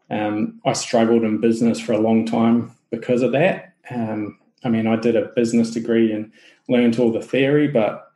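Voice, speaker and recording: A man's voice: medium pitch, roomy sound, rough recording. A man with a New Zealand accent, a podcast guest chatting casually about his business, with plenty of fillers and restarts. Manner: relaxed, many fillers and restarts. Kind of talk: casual interview chat.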